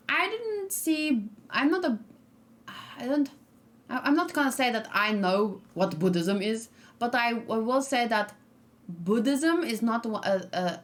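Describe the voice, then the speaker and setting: Young adult woman streamer, casual reaction chat, frank and rambling, plenty of fillers. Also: a little high. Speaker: streamer reacting to a game trailer.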